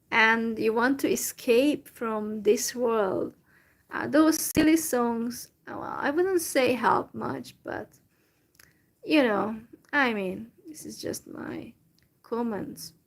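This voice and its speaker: Young woman, slow speech, gravelly fry, fairly high voice, halting casual chat full of fillers. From Central Europe. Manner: halting, many fillers and restarts. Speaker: vlogger. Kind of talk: casual solo chat to viewers.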